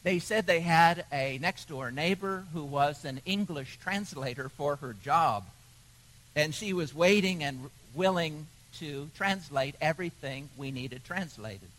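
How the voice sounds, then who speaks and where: A man preaching a sermon, telling stories in a measured way with pauses. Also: on the high side, a bit bright, rough recording. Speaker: pastor.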